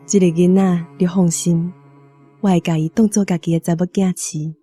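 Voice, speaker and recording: An actress playing a solemn, tender woman praying to the dead in a radio drama, earnest and measured, with a Taiwanese accent. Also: lowish voice, fairly even intonation, mellow, breathy edge, faint music behind.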